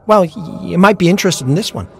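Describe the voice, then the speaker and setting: A man voicing a timid, eager chipmunk avatar from a story, in a hesitant, excitable way. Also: high voice, quick pace, vocal fry, long reverb tail, faint music behind, voice pushed up. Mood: eager, nervous.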